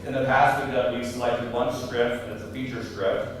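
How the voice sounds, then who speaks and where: A man speaks informally as host to an audience. His pitch is average. The sound is distant and heavily reverberant, with faint noise. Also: flat delivery, normal speed. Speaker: event host. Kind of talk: informal spoken introduction to an audience. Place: live event room before an audience.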